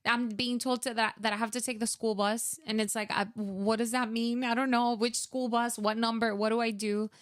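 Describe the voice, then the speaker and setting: Young woman, smooth clear voice, dry close sound, chatty with lots of fillers. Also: a little high, level tone, normal speed, hardly any pauses, dry recording. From Venezuela. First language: Spanish. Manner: chatty, many fillers, acts out her own past thoughts. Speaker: guest. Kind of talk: casual interview storytelling.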